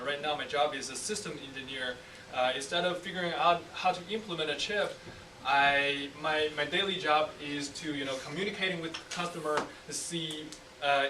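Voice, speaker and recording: A young man, an engineer speaking hesitantly to students with frequent pauses. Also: on the high side. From China. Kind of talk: casual classroom talk. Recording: roomy distant mic with faint noise.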